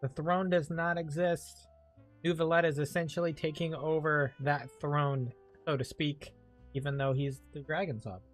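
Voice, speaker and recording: Higher-voiced man doing casual game commentary. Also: fairly even intonation, normal speed, soft background music. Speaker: game streamer. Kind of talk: casual let's play commentary.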